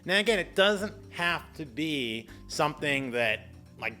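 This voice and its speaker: A man with a bright, forceful voice presents a casual explainer. He is chatty and animated, pitched a little high, and throws in playful, exaggerated asides. Faint music plays behind him. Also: unhurried. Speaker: video host giving public speaking tips.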